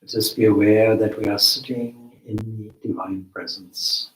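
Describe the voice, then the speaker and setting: Male meditation guide: lowish pitch, very unhurried, deliberate delivery with long pauses, recorded in an echoing room. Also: level tone. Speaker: meditation teacher, swami. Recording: roomy, echoing space.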